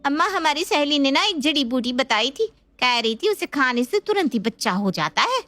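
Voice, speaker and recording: Opinionated family woman voiced by a woman, forceful and high-pitched. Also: big pitch swings, normal speed, dry recording, heavily acted. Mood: argumentative. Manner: argumentative, emphatic. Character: outspoken married sister-in-law, mother of two, stubborn. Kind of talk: Hindi family drama acting.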